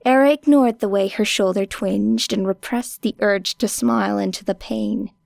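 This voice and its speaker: A woman's voice: medium pitch, fairly even intonation, moderate pace, vocal fry, a little mellow, no room sound, studio quality. A woman reading a story expressively as an audiobook narrator. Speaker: audiobook reader. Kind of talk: expressive scripted fiction narration.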